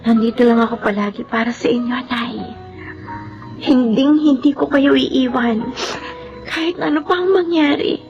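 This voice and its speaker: A woman's voice: sits high, normal speed, pauses often, lo-fi sound, faint music behind. A woman playing an emotional, pleading grown daughter in a Filipino drama, with heartfelt delivery. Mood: tender, earnest.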